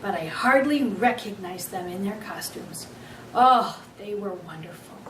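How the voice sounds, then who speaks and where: A woman reading a children's book aloud as an expressive storyteller. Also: mid-range voice, unhurried, distant mic. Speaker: story reader. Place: echoey room, away from the mic. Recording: roomy, off-mic, faint background noise.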